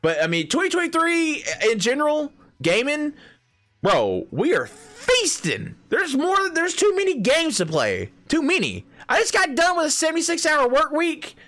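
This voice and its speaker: A man's voice: very high-pitched, huge pitch swings, normal speed, sharp tone. A thirty-year-old man giving his opinions in a casual gaming vlog, rambling and emphatic, with restarts, slang and the odd swear word. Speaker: gaming video creator.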